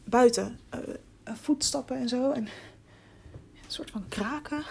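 An actress playing an anxious, inquisitive young reporter in a hesitant, confiding voicemail monologue in an audio drama. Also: female voice, fairly high pitch, measured pace, vocal fry, darkish tone, husky, heavily acted. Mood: frightened.

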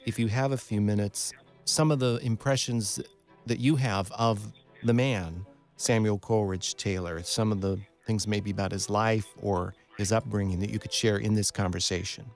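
A man conducting a conversational radio interview as host, phrasing things in a measured, courteous way. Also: fairly low pitch, steady tone, soft background music. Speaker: radio program director and interviewer.

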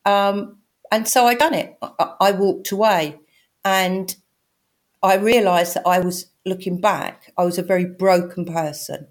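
A woman in her sixties with a croaky voice, candidly telling her own story as a podcast guest. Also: mid-range voice, steady tone, unhurried. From England. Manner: straight-talking, candid. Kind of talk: personal storytelling.

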